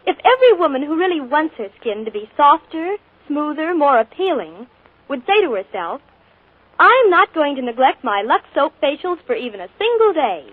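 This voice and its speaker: A woman's voice: sits high, sing-song, normal speed, dry recording. A woman reading a scripted radio commercial, chirpy and persuasive, on a tinny old broadcast. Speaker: commercial spokeswoman.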